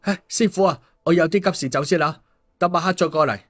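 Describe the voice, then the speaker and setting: A man's voice: fairly high pitch, quick pace, faint music behind, pitched above the performer's own voice, close to an everyday voice. A man voicing an easygoing, concerned male story hero in colloquial audiobook dialogue. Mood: evasive, relieved.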